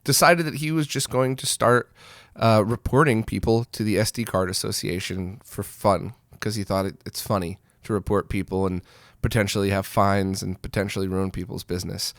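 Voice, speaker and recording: A man's voice: lowish voice, fairly even intonation, moderate pace, dry recording, clean studio sound. A man hosting a news roundup in a casual, blunt, opinionated way, speaking informally straight to viewers. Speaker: host of a retro gaming news show.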